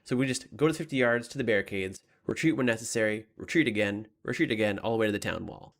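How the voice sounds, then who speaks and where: A man's voice: lowish voice, quick pace, natural voice. A man playing a confident military commander in a tabletop game, briefing troops in an emphatic, tactical manner. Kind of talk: in-character tabletop roleplay.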